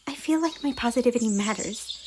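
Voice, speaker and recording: A woman voicing a chirpy, affectionate young pony in soft, gentle ASMR roleplay, often slipping toward a whisper. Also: on the high side, fairly quick, close to an everyday voice. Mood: tender, sincere. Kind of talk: roleplay ASMR character dialogue. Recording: noisy, birdsong and outdoor ambience behind. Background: birdsong and nature ambience.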